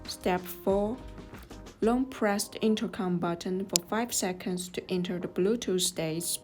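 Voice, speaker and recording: A woman reading scripted step-by-step instructions as a tutorial narrator, in a measured, neutral manner. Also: lowish voice, darkish tone. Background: music.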